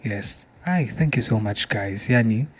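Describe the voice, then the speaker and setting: A man's voice: lowish voice, lively intonation, a little slow. A man with a Kenyan accent hosting a podcast in a casual, appreciative and affirming conversational way. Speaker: podcast host.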